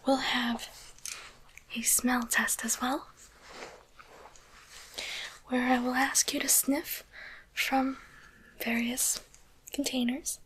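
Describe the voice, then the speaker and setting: A woman playing a softly teasing, curious demon doctor in a coaxing, deliberate whisper for an ASMR roleplay, close to the microphone. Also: high-pitched, flat delivery, slightly rough, full character voice, rough recording. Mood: calm, instructive.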